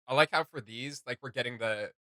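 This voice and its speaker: Enthusiastic man reacting, bright dry voice. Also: mid-range voice, fairly quick, no room sound. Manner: enthusiastic, slangy fan talk. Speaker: host of a reaction channel. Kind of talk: casual reaction commentary.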